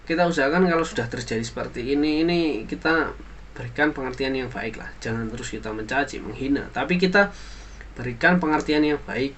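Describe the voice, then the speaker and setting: A young man, a university student, giving an earnest spoken opinion for a class assignment, halting now and then with fillers and restarts as he moralizes. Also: medium pitch, a bit bright, a little hiss or hum. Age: young adult.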